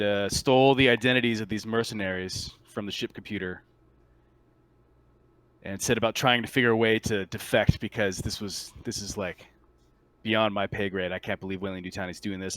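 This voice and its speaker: A man playing a shaken marine soldier in a tabletop roleplay, giving a halting, stammering account of a disastrous mission. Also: lowish voice, a bit bright, no echo, lightly acted. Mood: incredulous. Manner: halting, stammering, incredulous testimony. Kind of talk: improvised tabletop roleplay monologue.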